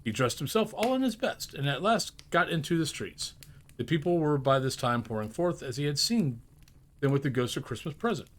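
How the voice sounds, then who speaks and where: A man's voice: a little high, expressive, normal speed. A man reading a classic story aloud as narrator, theatrical and emphatic, now and then stumbling over a word. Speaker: audiobook narrator.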